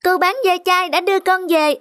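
A woman's voice: very high voice, fairly even intonation, moderate pace, a bit bright, no room sound, studio quality. A woman voicing a small chatty girl in a cartoon, sweet and earnest, over background music. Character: small cheerful girl, about five. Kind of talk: cartoon dubbing.

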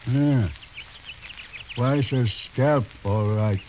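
A man's voice: medium pitch, very slow. A man playing a proud, stoic accused man in a 1950s radio western, speaking clipped, broken English in short phrases. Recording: dull, noisy 1950s radio recording.

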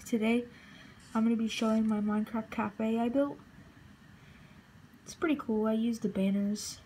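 A young woman casually narrating a walkthrough of a game build she made, halting and relaxed, with fillers. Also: on the high side, a little hiss or hum, poor audio. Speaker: channel host.

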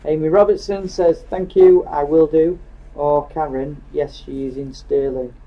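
A man's voice: a little high, steady tone, measured pace. A British man with an offhand, teasing manner, casually reading out viewer comments as co-host of a live stream. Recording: dull lo-fi sound.

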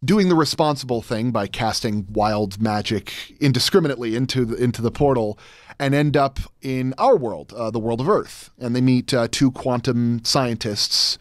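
A man in his thirties chatting casually as an interview guest, with halting, rambling explanations, close on a studio microphone. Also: mid-range voice, no room sound. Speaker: guest, editor and sound designer. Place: indoor podcast studio. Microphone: close studio microphone on a boom.

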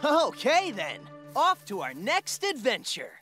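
A man's voice: extremely high pitch, huge pitch swings, takes it slowly, over music, exaggerated voice. A man playing a cocky, brave young hedgehog hero in a video game, with a casual, breezy delivery. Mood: thoughtful. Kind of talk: video game cutscene acting.

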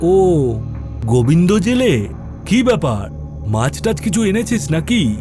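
A cunning cartoon moneylender, acted by a man, with a high voice that swings up and down. He drawls slowly and haughtily, mocking whoever he talks to, over background music. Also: very animated, heavily acted. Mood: scornful. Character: cunning, sneering male village moneylender. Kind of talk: animated horror story acting.

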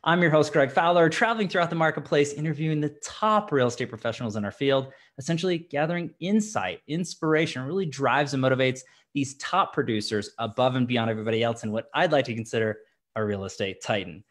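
A man's voice: mid-range voice, animated, quick pace. A young man hosting an interview podcast over a video call, upbeat and effusive, often repeating himself. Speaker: podcast host. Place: home office, indoors. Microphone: studio mic with headset, video call.

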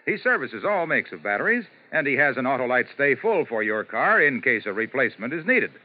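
A man's voice: mid-range voice, very animated, fairly quick, no room sound. A man announcing commercials in a scripted 1950s radio sales style. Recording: tinny 1950s radio broadcast.

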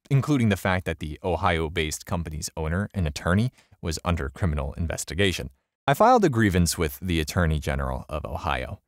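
A man reading a first-person online story aloud, telling it in an animated way. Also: no room sound, studio quality. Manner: animated storytelling with wide pitch swings. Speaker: story narrator.

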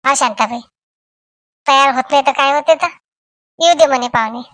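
A woman's voice: high-pitched, brisk, a bit bright, no room sound, studio quality. A woman voicing a chatty, matter-of-fact village woman in a family comedy cartoon. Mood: brisk, eager.

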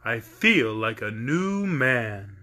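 A gentle scarecrow stuffed with straw, put on by a storyteller, speaking in a husky voice. He draws every word out slowly and politely, and his tone stays bright and lively. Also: medium pitch, voice pushed down, full character voice. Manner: slow, drawn-out, polite speech. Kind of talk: storybook reading.

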